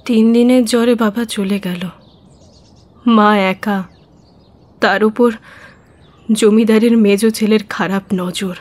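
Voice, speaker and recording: A woman's voice: medium pitch, steady tone, takes it slowly, pauses often, a little mellow, lightly acted. A woman playing a sorrowful young newly married village girl in a radio drama, speaking in a plaintive, pleading way.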